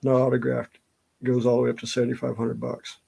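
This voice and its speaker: A man's voice: medium pitch, completely flat delivery, fast, vocal fry, dark tone. A middle-aged man with a Southern US accent chatting casually and telling stories in a rambling way. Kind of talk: casual chat, storytelling.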